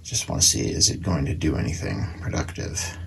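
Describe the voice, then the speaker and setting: Deep, gravelly man muttering over a repair. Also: animated, brisk, slight background noise. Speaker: antique radio repairer, video host. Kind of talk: casual unscripted repair commentary.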